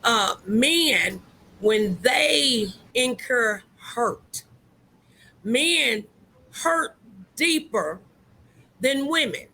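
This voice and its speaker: A woman hosting a conversational relationship talk show, speaking in a halting, deliberate way with drawn-out phrases. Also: a little high, expressive, sharp tone, slight background noise, low-quality recording.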